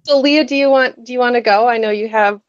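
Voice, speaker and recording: A woman's voice: on the high side, fast talker, clear, resonant tone, no echo. A woman hosting a casual book chat on a group call, speaking informally as she steers the talk. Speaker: host of a readalong live show. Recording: dry, dull lo-fi call audio.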